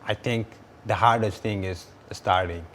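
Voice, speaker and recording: A man's voice: lowish voice, steady tone, measured pace, a bit bright, slight background noise. A young man, answering interview questions earnestly and often repeating words as he restarts. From Ethiopia. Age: 20s. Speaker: guest entrepreneur.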